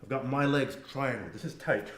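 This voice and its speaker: A man's voice: mid-range voice, moderate pace, fairly bright tone, roomy sound, slight background noise. A man teaching grappling moves in a casual, joking way, explaining each step as he demonstrates on a partner. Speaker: grappling instructor. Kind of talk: instructional demonstration. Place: training mat room.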